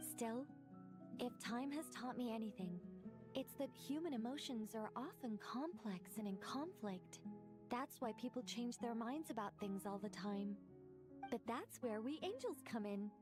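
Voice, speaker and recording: An actress playing a wise, composed female angel in a video game, speaking in formal, measured, articulate phrases. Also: fairly high pitch, lively intonation, over music. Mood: composed, persuasive. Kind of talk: scripted video game voice acting.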